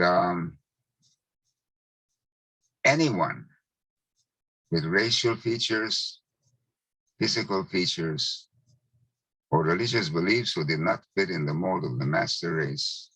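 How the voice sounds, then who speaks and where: A man in his nineties, a Hungarian native speaker from Czechoslovakia, slowly recalling his childhood with measured pauses. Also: deep voice, pushed and bright, slightly rough, no echo, rough recording. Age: 90s. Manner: slow, measured recollection with pauses. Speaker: Holocaust survivor speaker. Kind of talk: testimony talk.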